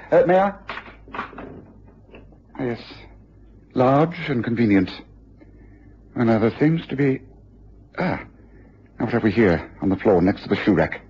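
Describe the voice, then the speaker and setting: An observant detective in a radio drama, absorbed and probing, musing as he goes. The voice is markedly husky and rises and falls freely. The recording is dulled, like an old broadcast. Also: a little high, a little mellow, lo-fi sound, heavily acted. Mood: intrigued, reasoning. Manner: musing hesitations, thinking aloud while examining.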